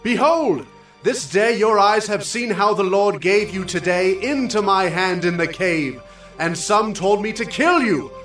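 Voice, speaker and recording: A man acting an earnest, reverent young Israelite warrior in a dramatized Bible story, declaiming with drawn-out emphatic words. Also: high-pitched, a bit bright, echoey room, far from the mic, soft background music. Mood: impassioned pleading.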